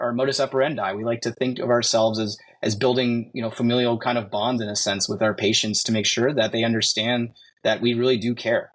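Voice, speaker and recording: Man, 50s, middle pitch, measured conversational guest who reasons aloud. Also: a bit bright. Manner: thoughtful, restarts phrases, walks through examples. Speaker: guest, medical spa marketing. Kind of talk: casual conversation.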